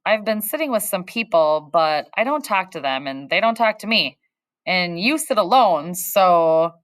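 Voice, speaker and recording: A woman's voice: lowish voice, animated, measured pace, dry recording, pitched above the performer's own voice. A woman voicing a confident, friendly schoolgirl who speaks frankly.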